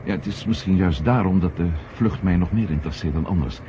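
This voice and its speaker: Male actor as suspicious journalist, lowish voice, insistent, wry, radio drama, noisy dull 1970 recording. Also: a little fast, close to an everyday voice. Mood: worried, earnest. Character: stubborn, suspicious male journalist and former astronaut.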